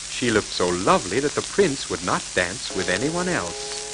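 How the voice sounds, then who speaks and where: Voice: mid-range voice, sing-song, normal speed. A storyteller reading scripted fairy tales to children on a crackly 1940s record.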